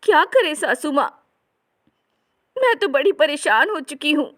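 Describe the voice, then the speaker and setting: A woman's voice: high voice, big pitch swings, unhurried, fairly bright tone, voice pushed up, heavily acted. A woman voicing an embarrassed, meek young daughter-in-law in a family comedy story, with a plaintive, confiding whine. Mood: embarrassed, complaining. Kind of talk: acted dialogue in a Hindi family comedy story.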